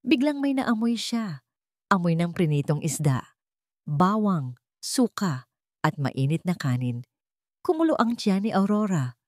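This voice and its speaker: A woman narrating a romantic drama in a calm, measured storytelling manner. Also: low voice, no room sound, clean studio sound.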